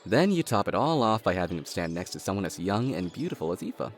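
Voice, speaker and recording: A man playing a level-headed, slightly dorky adult brother in an audio drama, talking in a measured, wry way. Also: low-pitched, very animated. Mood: reasoning.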